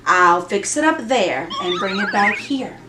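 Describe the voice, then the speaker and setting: A woman's voice: medium pitch, expressive, measured pace, heavy background noise, close to an everyday voice. A woman voicing a grumpy, gleeful male creature who hates Christmas, sly and mock-sweet in a storybook reading. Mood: falsely sweet. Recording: roomy echo with music behind.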